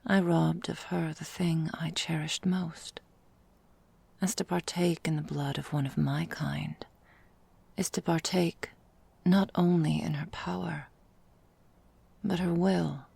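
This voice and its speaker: Woman playing a slow, breathy, creaking ancient vampire mistress. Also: low-pitched, fairly even intonation, darkish tone, heavily acted. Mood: regretful, hushed. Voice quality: breathy, whispery, gravelly. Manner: slow, formal, theatrical, deliberate. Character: ancient vampire mistress, possessive, elegant, melancholy, menacing. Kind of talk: ASMR roleplay monologue.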